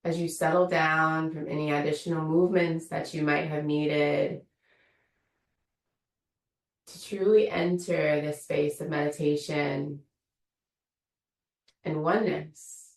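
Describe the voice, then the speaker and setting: A low-voiced woman guides a meditation, her words unhurried and gently inviting. Her voice has a gravelly edge. She sounds a little away from the mic, and the room gives it an echo. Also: level tone, far from the mic. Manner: slow, soothing, invitational guidance. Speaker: meditation teacher. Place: room of an online yoga studio.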